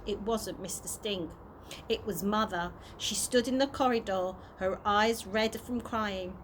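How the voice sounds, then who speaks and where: A woman reading a children's chapter book aloud as an expressive storyteller. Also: fairly high pitch. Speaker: early years practitioner reading a story. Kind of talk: children's audiobook read aloud. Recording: noisy amateur home recording.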